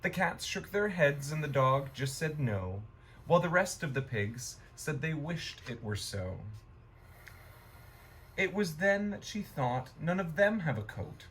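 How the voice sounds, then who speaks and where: Slow-paced man reading a children's storybook. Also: on the high side, sing-song, a bit bright, slight background noise, poor audio. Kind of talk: rhyming children's storybook narration.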